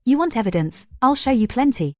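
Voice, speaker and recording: An actress playing a composed, defiant young daughter-in-law in a family melodrama, cool and matter-of-fact. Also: female voice, fairly high pitch, lively intonation, a little fast, slight background noise, natural voice. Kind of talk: dubbed family melodrama acting.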